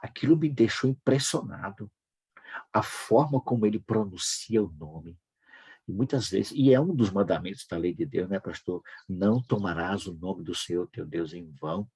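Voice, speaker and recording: A Brazilian man hosting a devotional broadcast, speaking informally and fervently with a husky voice, repeating phrases for emphasis. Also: sits low, moderate pace, a little mellow, low-quality recording. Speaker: host of a devotional prayer broadcast.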